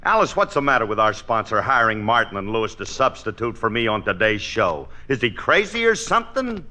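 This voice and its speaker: An actor playing an insecure, boastful bandleader husband in a 1950s radio comedy, with brash, folksy, ungrammatical speech. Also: male voice, on the low side, very animated, brisk, pushed and bright, full character voice. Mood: indignant, worried. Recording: noisy old radio comedy recording.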